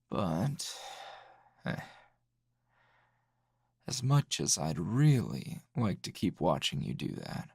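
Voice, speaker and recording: A man playing a gruff, flirtatious male anime hero in an intimate ASMR roleplay, gravelly and husky, teasing and halting. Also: fairly low pitch, no echo, clean studio sound, full character voice. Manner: slow, teasing, halting with sighs and chuckles.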